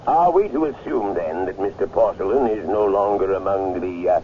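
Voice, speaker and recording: An actor playing a dry, deliberate questioner with a measured, formal manner in a 1970s radio drama. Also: male voice, low-pitched, lightly acted. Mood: dry, probing. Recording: noisy, tinny 1970s radio broadcast.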